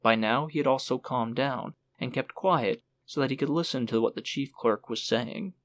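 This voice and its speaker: A man narrating a literary audiobook in a measured storytelling manner. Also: medium pitch, a bit bright, no room sound.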